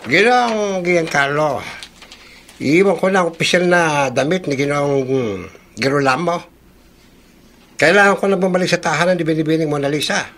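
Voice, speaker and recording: A man's voice: fairly high pitch, normal speed, fairly bright tone. A man playing a timid, deferential servant messenger in a radio drama, polite and hesitant. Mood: dutiful.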